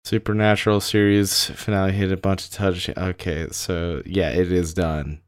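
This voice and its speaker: A young man with a very low, deep voice, trading easygoing and irreverent podcast banter. He swears casually and keeps his tone relaxed. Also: moderate pace, smooth, no room sound, clean studio sound. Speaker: podcast co-host.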